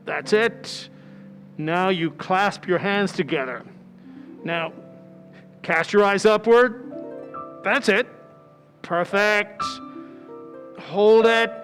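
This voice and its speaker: Guy acting a bossy film director in a radio play, slow pace, echoey hall, coaxing orders. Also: high-pitched, steady tone, fairly bright tone, full character voice. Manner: drawn-out, directive calls. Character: commanding film director, a man coaxing his star. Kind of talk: old-time radio drama acting. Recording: reverberant hall, faint music behind.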